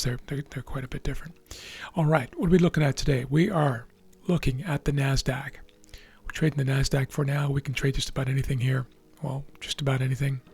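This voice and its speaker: Raspy man talking through trading charts. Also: mid-range voice. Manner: measured, thinking aloud with fillers and restarts. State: losing his voice. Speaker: trading video host. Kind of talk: explaining live market charts.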